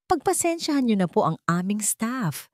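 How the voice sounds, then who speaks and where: A woman's voice: a little high, sing-song, normal speed, no room sound, clean studio sound, voice pushed up. A woman voicing an anxious, apologetic middle-aged Filipino man, a restaurant manager in a drama. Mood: flustered, apologetic.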